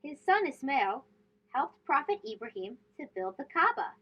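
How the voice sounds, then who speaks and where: A woman with a high voice reads a storybook aloud for children. Her intonation is very animated, with emphatic phrasing and questions aimed at young listeners. The recording is a little dull. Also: moderate pace. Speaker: host of a children's read-aloud show.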